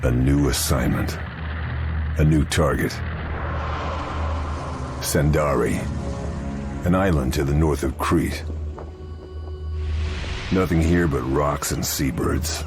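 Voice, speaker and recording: WWII sniper agent, extremely low voice, terse, deadpan, stoic, cinematic game narration, music behind. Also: male voice, takes it slowly, long pauses. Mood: grim, resolute.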